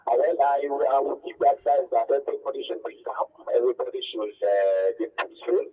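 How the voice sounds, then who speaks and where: Male phone-in guest, Nigerian accent, husky and halting, heard over a narrow, distant telephone line. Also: mid-range voice. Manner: halting, repetitive. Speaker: local phone-in interviewee. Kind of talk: spontaneous eyewitness report.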